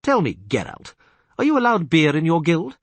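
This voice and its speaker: A man voicing a pompous middle-aged male castellan who blusters loudly, his pitch swooping high. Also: huge pitch swings, normal speed, dry recording. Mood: grumbling. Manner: loud, theatrical, swoops high.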